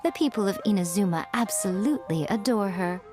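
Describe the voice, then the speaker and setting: Actress as a poised, teasing fox-spirit lady publisher, smooth, faint game music behind. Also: medium pitch, fairly quick, lightly acted. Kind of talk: video game voice acting.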